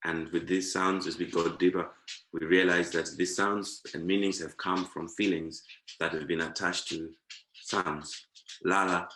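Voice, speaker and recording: A man's voice: low voice, little pitch movement, measured pace, pushed and bright, reverberant. A man from Zimbabwe, a guest talking in a reflective conversation, thoughtful and repeating words to savor them. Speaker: guest, performing artist.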